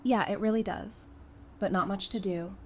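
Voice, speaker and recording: A woman's voice: medium pitch, fairly even intonation, moderate pace, close to an everyday voice. A wry former psychiatrist teases and needles in easy banter, played by a woman. Her voice sits in the middle range. There is faint noise under the recording of this fan audio drama. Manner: dry, teasing banter. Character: Talissa, young woman psychiatrist, wry and teasing.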